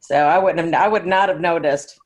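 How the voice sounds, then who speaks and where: A woman's voice: lowish voice, normal speed. A woman co-hosting a casual interview, chatty, agreeable and complimentary. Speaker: interview co-host.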